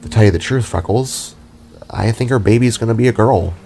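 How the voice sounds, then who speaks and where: A man's voice: on the low side, a little fast, creaky, dark tone, faint music behind. A man voicing an affectionate alien father-to-be in a fantasy audio drama, casual and earnest. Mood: affectionate, hopeful. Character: affectionate male alien spark, devoted expectant father.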